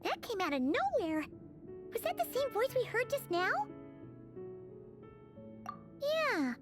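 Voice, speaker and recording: A woman voicing a small, excitable female sprite companion in a game, bubbly and chatty. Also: very high voice, moderate pace. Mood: excited. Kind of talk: game cutscene voice acting. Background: game music.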